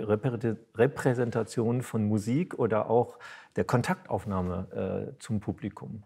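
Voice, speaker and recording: A man hosts a live on-stage interview in a low voice with a distinctly husky, unclear grain. He speaks formally but off the cuff, feeling his way through long questions with pauses and small stumbles. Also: dark tone. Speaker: interviewer and concert host. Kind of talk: on-stage artist interview, spontaneous formal talk. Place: spoken before an audience at a concert venue.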